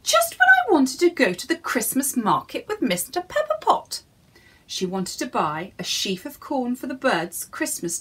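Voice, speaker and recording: A woman's voice: on the high side, a bit bright, husky. A woman reading a storybook to young children in a theatrical way, addressing her listeners directly.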